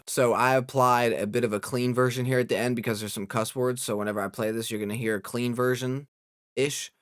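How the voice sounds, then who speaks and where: A young man hosting a casual software tutorial, explaining informally step by step as an audio engineer. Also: fairly low pitch, clean tone, no echo, clean studio sound.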